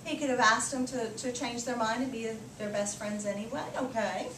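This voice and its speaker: A woman's voice: a little high, steady tone, normal speed. A woman teacher reading aloud and talking with a group of children. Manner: repeats and affirms listeners' answers. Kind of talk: storybook read-aloud and class discussion. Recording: echoey, noisy room, distant microphone.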